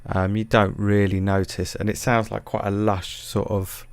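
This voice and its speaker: A man's voice: on the low side, level tone, moderate pace, no echo. A man narrating a music production tutorial in a casual, hesitant, self-deprecating way.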